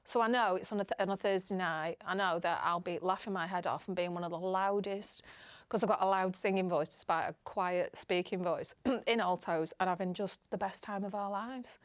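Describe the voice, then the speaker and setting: A woman's voice: on the low side, fairly even intonation, moderate pace, dry recording. A middle-aged woman with a Northern English accent, telling personal stories casually in a candid, self-deprecating way as a podcast guest. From northern England. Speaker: podcast guest, public speaker.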